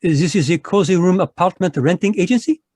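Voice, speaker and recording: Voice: mid-range voice, brisk, darkish tone, breathy edge, no room sound, lightly acted. Someone doing a prim french-accented belgian detective, fussy and formal, dry mic. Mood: fussy, polite. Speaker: voice actor in radio play. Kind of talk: 1940s radio acting. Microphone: video call.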